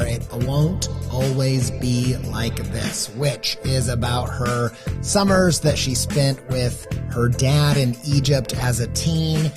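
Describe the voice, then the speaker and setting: A middle-aged man hosting a creative podcast, talking eagerly with loose, rambling restarts. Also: mid-range voice. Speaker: podcast host. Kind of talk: podcast intro and interview.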